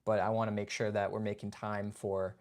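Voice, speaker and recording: A man's voice: fairly low pitch, flat delivery, a little fast, a little mellow. Laid-back young man hosting a livestream. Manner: laid-back, chatty, says "man" and "dude"; reads chat and greets viewers by name. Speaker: livestream host. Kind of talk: casual livestream chat.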